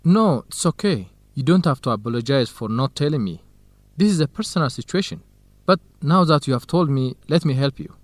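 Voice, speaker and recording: An actor playing a kind, helpful young student researcher, speaking carefully and formally in a radio drama for English learners. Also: male voice, mid-range voice, animated, fairly quick, no room sound, natural voice. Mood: reassuring, supportive. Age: young adult.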